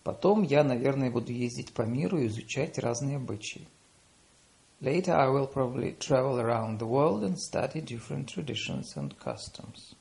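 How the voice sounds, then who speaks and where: A man reading paired lesson phrases, saying the English slowly and deliberately after quicker Russian. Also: medium pitch, sing-song, vocal fry, darkish tone. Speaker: language teacher.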